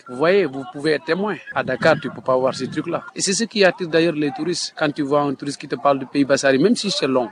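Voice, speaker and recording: A man's voice: a little high, background noise. A man from southeastern Senegal with a Senegalese French accent, a tour guide talking spontaneously in an interview. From Kédougou region, Senegal.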